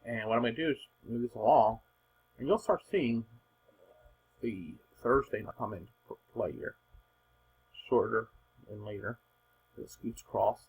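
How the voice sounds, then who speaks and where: A man giving informal, unscripted weather forecast commentary in an emphatic, husky voice, repeating points for stress. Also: medium pitch, measured pace, pauses often, a little mellow, slight background noise, low-quality recording.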